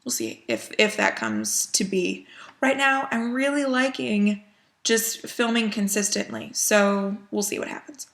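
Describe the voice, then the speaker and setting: Sniffly chatty woman with a gravelly voice. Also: medium pitch, lively intonation, measured pace, a bit bright. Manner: chatty with frequent ums and small laughs. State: sniffly from a cold. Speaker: cross-stitch vlogger. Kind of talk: casual chat.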